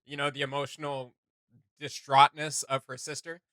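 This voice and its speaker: A man's voice: medium pitch, level tone, moderate pace, pushed and bright, dry recording. A man giving casual reaction commentary as a video host, searching for his words as he goes.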